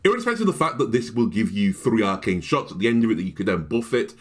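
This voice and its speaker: Man with a raspy under-the-weather voice. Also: lowish voice, sing-song, fast. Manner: clears throat, thinks aloud with ums. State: sick, slightly deeper and raspier voice. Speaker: card game content creator. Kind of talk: card rating commentary.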